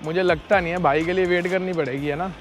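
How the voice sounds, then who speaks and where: A man's voice: high voice, quick pace, faint music behind. A man chatting casually and animatedly to viewers as a trucker vlogger. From India. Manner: animated, addresses viewers as brother. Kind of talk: casual vlog chat to viewers. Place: truck cab and snowy yard outdoors.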